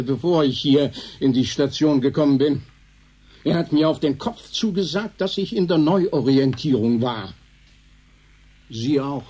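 Husky, earnest suspicious man. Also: on the high side, darkish tone. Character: Thorberg, earnest suspicious male station inmate. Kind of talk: German radio drama acting.

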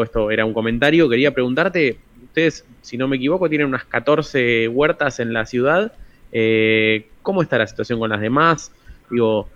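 A man's voice: medium pitch, a bit bright, no echo, dull audio. A man with a Buenos Aires accent hosts a radio interview conversationally, mixing comment with questions and repeating words as he formulates them. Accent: Buenos Aires Argentine Spanish. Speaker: radio show host.

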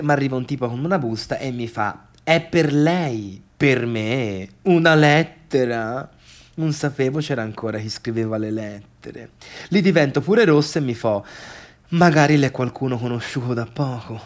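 An actor playing a chatty Tuscan villager with a strong local way of talking, grumbling and exclaiming through a theatrical monologue. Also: male voice, medium pitch, animated, normal speed, lightly acted. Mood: gossipy, incredulous. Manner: colloquial, grumbling, exclamatory storytelling. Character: chatty, gossipy Tuscan villager recounting a disastrous party. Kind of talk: theatrical comic monologue for radio drama.

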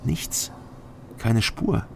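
An actor playing a weary, hard-drinking private detective who narrates a crime radio drama in terse, clipped sentences. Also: male voice, drawn-out words, background noise. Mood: brooding. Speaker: narrator and lead investigator.

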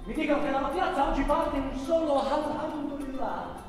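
A man's voice: very high voice, moderate pace, faint music behind. A man narrating a theatrical travel monologue on stage, delivered phrase by phrase. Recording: very echoey hall, far from mic.

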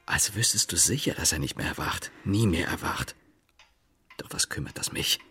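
A man's voice: low-pitched, animated, normal speed, sharp tone, breathy edge, faint music behind, heavily acted. An actor playing a restless, brooding sleepless man in a radio play, murmuring and suddenly flaring up. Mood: uneasy, murmured. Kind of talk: expressionistic radio play acting.

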